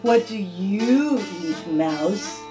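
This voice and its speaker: A woman voicing a boastful male wide-mouthed frog in a storybook reading, proudly repeating his one boast, with soft guitar in an echoey room. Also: medium pitch, big pitch swings, drawn-out words, voice pushed down. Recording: echoey room, heard from a distance.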